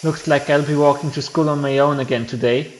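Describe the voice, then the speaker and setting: A man's voice: on the high side, steady tone, fairly quick, low-quality recording. A man who is a non-native English speaker, doing an amateur acted reading of video game dialogue. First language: not English. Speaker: amateur game voice-over player. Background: game music.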